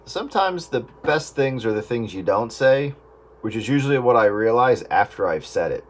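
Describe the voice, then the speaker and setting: A man's voice: medium pitch, very animated, normal speed. Self-deprecating man chatting on radio. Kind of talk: casual chat over two-way radio. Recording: radio transmission, faint static.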